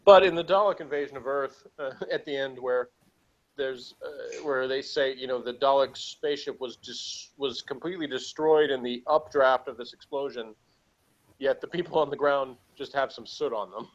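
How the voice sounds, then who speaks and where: A man's voice: medium pitch, normal speed. A man hosting a casual episode review. He is sarcastic, chuckles often and reads from his notes. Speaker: podcast host reviewing an episode. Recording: dull lo-fi audio.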